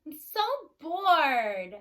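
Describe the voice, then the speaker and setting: A woman's voice: sits high, very animated, very slow, bright, forceful tone, full character voice. A woman voicing a bored, cheeky young female cow in a storybook, with a dramatic manner.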